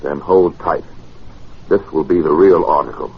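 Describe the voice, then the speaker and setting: A daring, insistent inventor in a vintage radio adventure. The voice sits low and sounds sure of itself. The old recording is muffled and lightly hissy. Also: male voice, moderate pace. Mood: triumphant. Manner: assured, insistent. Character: confident, daring gentleman inventor of a time machine. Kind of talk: 1940s radio acting.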